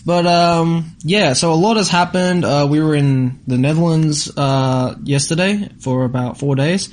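A man's voice: a little high, unhurried, slight background noise. A man co-hosting a podcast, chatting casually in an informal, rambling, slangy way. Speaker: podcast co-host. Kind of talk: casual chat about travel.

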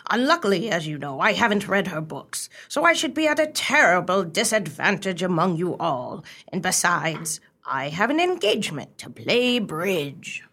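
A sly society lady played by an actress with a lowish, gravelly voice that moves freely. She speaks with airy, genteel nonchalance and mock earnestness, as in a radio play. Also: very animated, normal speed, few pauses, bright, forceful tone, full character voice. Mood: politely apologetic. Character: sly, nonchalant society woman, blithely bluffing her book club.

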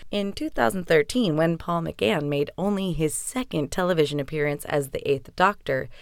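A woman's voice: on the low side, gravelly, no echo, clean studio sound. A woman hosting a podcast, reading scripted narration. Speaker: podcast host.

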